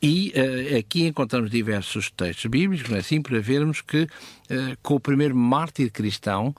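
Halting European Portuguese man, dry close sound. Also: medium pitch, lively intonation, no echo. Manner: halting, with pauses and rhetorical check questions. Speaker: Bible teacher. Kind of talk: conversational Bible exposition with scripture reading.

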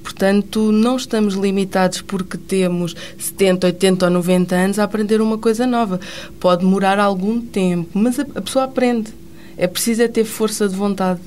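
A woman's voice: mid-range voice, steady tone, brisk, a little mellow, a little hiss or hum. A woman, a guest on a radio talk show, explaining things earnestly.

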